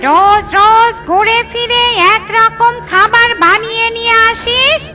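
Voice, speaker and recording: A woman's voice: extremely high pitch, a little slow, heavily acted. A woman voicing a bossy, greedy wicked witch in a children's cartoon, scolding and gloating. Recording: loud children's music behind.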